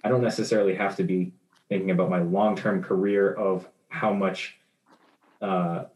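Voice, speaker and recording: A man's voice: sits low, fairly even intonation, normal speed, darkish tone. A man in his twenties talking in a rambling casual discussion, with many fillers and restarts. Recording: roomy echo.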